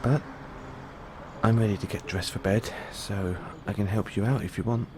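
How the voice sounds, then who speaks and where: A man's voice: fairly low pitch, fairly even intonation, moderate pace, background noise, natural voice. A man playing a playful, affectionate boyfriend in an intimate bedtime audio roleplay. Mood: affectionate, soothing. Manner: teasing, affectionate.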